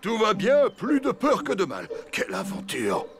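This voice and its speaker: A cheerful cartoon elephant father, reassures and brags like a proud handyman. His pitch swoops wildly from low to high in a theatrical delivery, with music playing behind him. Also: wildly swooping pitch, moderate pace, heavily acted. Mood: flustered. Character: cheerful, boastful elephant dad, a clumsy handyman. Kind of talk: children's cartoon dialogue.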